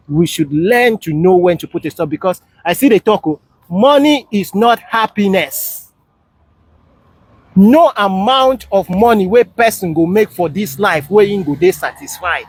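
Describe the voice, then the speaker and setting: A man's voice: high voice, husky, no echo, poor audio. A man with a Nigerian accent in casual, storytelling chat, giving advice and often checking that he is understood.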